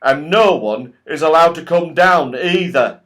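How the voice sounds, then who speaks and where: Man doing a loud, wicked bullying goblin. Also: a little high, unhurried, pitched above the performer's own voice. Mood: menacing, gloating. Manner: loud, domineering, gloating. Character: wicked grinning red goblin, rough bully. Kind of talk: children's storybook reading.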